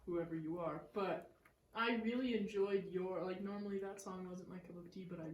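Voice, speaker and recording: A woman's voice: fairly low pitch, normal speed, muffled recording, a little hiss or hum. Bubbly young woman in an echoey room. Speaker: co-host. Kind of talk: casual chat.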